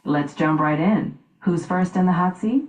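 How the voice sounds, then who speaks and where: A woman's voice: on the low side, unhurried, echoey room, rough recording. A woman acting as an upbeat, encouraging assistant to the show's host.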